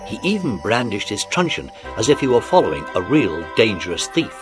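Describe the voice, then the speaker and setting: A man narrating a dramatised children's audiobook in an expressive, animated storytelling manner. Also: fairly low pitch, a little fast. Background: music.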